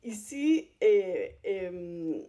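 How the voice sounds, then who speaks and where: Slow-spoken woman, Chilean Spanish accent. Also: on the low side, big pitch swings, warm tone. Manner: hesitant, halting delivery with non-native phrasing. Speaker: guest speaker, visual artist. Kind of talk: conference presentation.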